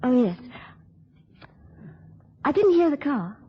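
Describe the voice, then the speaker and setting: A woman's voice: sits high, big pitch swings, takes it slowly, heavily acted. An actress playing an anxious, devoted woman in love, with emotional pleading in an old radio drama. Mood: distracted, uneasy. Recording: dull, lo-fi old radio recording.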